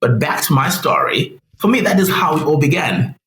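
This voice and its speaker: Animated man in a roomy space. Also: a little high, normal speed. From Zimbabwe. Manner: animated, acts out quoted voices. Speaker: guest business analyst. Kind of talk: interview storytelling. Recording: roomy with faint noise.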